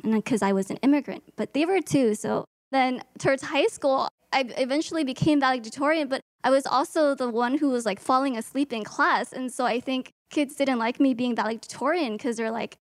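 A woman's voice: high-pitched, a little fast, dry recording. A young woman from Hong Kong, a student, telling her personal story in an earnest, reflective way. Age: young adult. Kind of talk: personal testimony.

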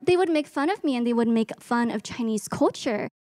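A woman's voice: on the high side, expressive, fairly quick, a little mellow, no room sound, studio quality. A young woman from Hong Kong, a student, telling her personal story in an earnest, reflective way. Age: young adult. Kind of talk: personal testimony.

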